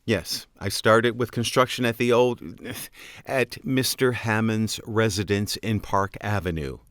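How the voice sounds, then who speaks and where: An actor playing a terse, dutiful park security boss with a curt, commanding manner in an audio drama. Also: male voice, on the low side, fairly even intonation, a little slow, fairly bright tone, no echo, clean studio sound. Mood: irritated.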